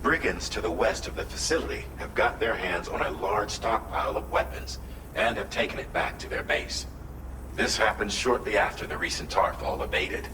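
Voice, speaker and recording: This is a male actor playing a formal, earnest mission dispatcher in a video game. His voice is fairly low and measured. It sounds distant from the mic, with clear noise behind it. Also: natural voice. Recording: echoey, distant, noisy game audio.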